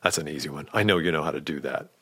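A man's voice: rumbling low, rapid-fire, bright, forceful tone, breathy edge. A man hosting a podcast, coaching sales leaders with direct talk and rhetorical questions. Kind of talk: podcast monologue.

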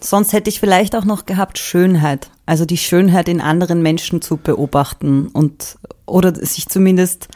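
A woman's voice: fairly low pitch, fairly even intonation, normal speed, mellow. A woman with an Austrian accent, a singer-songwriter talking casually and reflectively about creative work.

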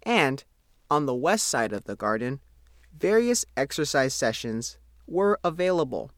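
A man's voice: medium pitch, sing-song, unhurried, no echo, studio quality. An American man reading a scripted local news report as the reporter. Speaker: newspaper reporter reading own article.

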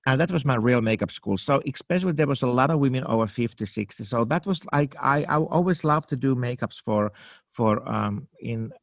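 Finnish man, gravelly and halting. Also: medium pitch, lively intonation, dry recording. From Finland. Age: 50s. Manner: halting, searching for English words with many fillers and restarts. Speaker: makeup artist guest. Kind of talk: casual interview answers.

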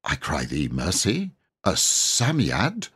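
A man's voice: deep voice, huge pitch swings, slow pace, a bit bright, husky, full character voice. A man voicing a kindly, gallant medieval knight who declaims in pompous, courtly, archaic fashion for a storybook. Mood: courtly, puzzled.

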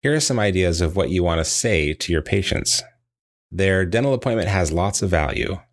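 A man narrating a scripted training lesson in a measured, explanatory way, as if reading from prepared text. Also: sits low, animated. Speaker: dental practice trainer.

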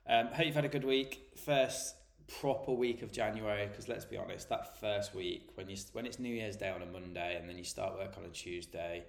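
A man's voice: fairly low pitch, steady tone, normal speed, vocal fry, few pauses, roomy sound. Chatty man with a stuffy cold. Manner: chatty, explains things plainly and informally. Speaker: health and nutrition coach. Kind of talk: solo podcast monologue.

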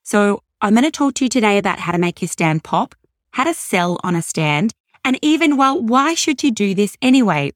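Husky aussie lady podcaster, chatty and salesy, dry close mic. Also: medium pitch, big pitch swings, normal speed, no echo. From Australia. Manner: upbeat, affectionate, salesy. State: hoarse from overuse. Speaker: podcast host. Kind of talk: podcast monologue.

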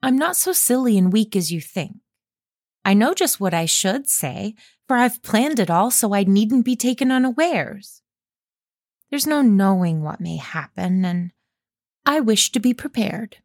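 Woman voicing a shy demure young woman, soft prim earnest voice, audiobook reading. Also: mid-range voice, animated, a little slow, dry recording, studio quality, voice pushed up.

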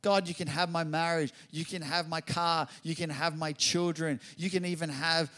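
A middle-aged man delivers a sermon in a slightly raised voice. He tells his story in a lively, conversational way. He then swells into loud, fervent exclamations. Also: a little high, normal speed. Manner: animated, builds to loud exclamations. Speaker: pastor.

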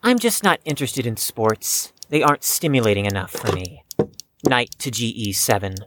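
Audio drama young chess prodigy, played by an actor: defensive, slightly stiff, expressive pitch swings, faint background noise. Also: normal speed, close to an everyday voice. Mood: guarded, defensive. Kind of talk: scripted audio drama acting.

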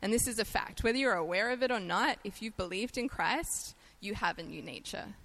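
An Australian woman delivering a sermon in a relaxed, conversational way. Her voice sits at an ordinary middle pitch, and she laughs easily at herself between points. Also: brisk. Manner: chatty and self-deprecating, laughs easily. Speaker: guest preacher.

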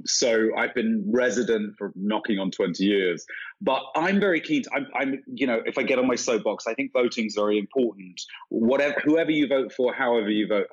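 An enthusiastic man in his forties with a London accent softened by years in America, talking eagerly as an interview guest. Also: on the low side, dull audio. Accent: London English softened by American. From London, England. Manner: chipper, enthusiastic, with frequent restarts. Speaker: guest, travel writer. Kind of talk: conversational interview.

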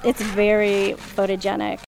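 A woman's voice: mid-range voice, completely flat delivery, a little slow, vocal fry, a little mellow, slight background noise, low-quality recording. Mature female field surveyor, medium register, informal explanatory interview delivery. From Minnesota, US. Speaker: archaeological surveyor being interviewed.

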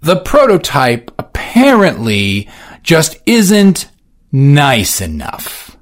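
A man's voice: a little high, huge pitch swings, extremely slow, voice pushed up, exaggerated voice. A man doing a careful, formal business manager.

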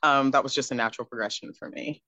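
A young adult woman from Texas, a guest recounting her upbringing in a relaxed interview, reflective and given to small laughs. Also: a bass voice, fast, no room sound. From Texas, USA. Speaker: guest, pro wrestler. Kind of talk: casual interview chat. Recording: dull lo-fi dry sound.

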